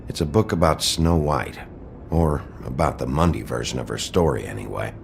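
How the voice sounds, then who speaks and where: An actor playing a gruff, brooding male detective in a noir video game, with a gravelly voice and a terse, deadpan, authoritative delivery. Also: rumbling low, normal speed, slight background noise. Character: gruff, brooding wolf detective in human form.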